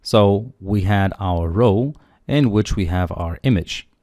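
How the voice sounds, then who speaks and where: A man walks through a programming tutorial, explaining each step in a steady, matter-of-fact way as he types. His voice sits on the low side and is recorded close and dry, with almost no room sound. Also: slow, no room sound. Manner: steady explanatory, thinking aloud while typing. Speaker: coding tutorial instructor. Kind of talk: screen-recorded coding walkthrough.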